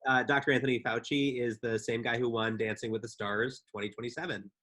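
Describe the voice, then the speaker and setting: A woman with a strikingly low voice, talking as a podcast co-host among friends. Her style is casual banter with a dry, teasing sense of humour. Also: fairly even intonation, a little fast, a bit bright.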